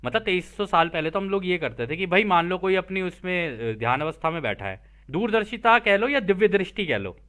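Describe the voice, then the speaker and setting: A man's voice: high voice, lively intonation, fairly quick, a bit bright. A man teaching spiritual material, reading aloud and explaining it informally, checking in with listeners through rhetorical questions.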